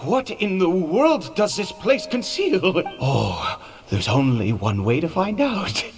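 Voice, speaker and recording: A man voicing an anxious, weary father in a video game, speaking in a hesitant, halting way with stammered repeats. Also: high-pitched, very animated, faint music behind, heavily acted. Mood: awed, uneasy. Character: anxious, weary father searching for his son. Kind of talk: dramatic video game voice acting.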